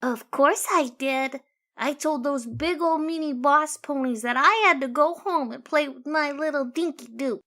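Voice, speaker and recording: Audiobook role: female narrator playing a doting pegasus mom, raised pitch, bright, cooing and gentle. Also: unhurried. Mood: cooing, playful. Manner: cooing baby talk to a child. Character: doting pegasus pony mother, playful and gentle. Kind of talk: audiobook character voice.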